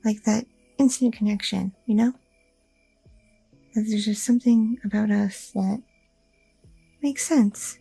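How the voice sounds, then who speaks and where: A woman voicing a sweet, anxious young goth girlfriend in an ASMR roleplay, talking softly and a little hesitantly, close to the mic. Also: mid-range voice, fairly even intonation, slow, vocal fry, long pauses, husky, dry recording, faint music behind, voice pushed down, heavily acted, poor audio. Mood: tender. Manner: soft, affectionate, a little hesitant, with fillers.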